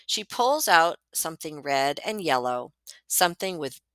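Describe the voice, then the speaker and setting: A woman reads a picture book aloud to children at a slow, deliberate pace. Her voice is bright and swoops expressively as she leans on key words. The sound is close and dry. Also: low-pitched, big pitch swings, no room sound. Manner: dramatic, deliberate storytelling with emphasized words. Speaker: librarian reading aloud to students.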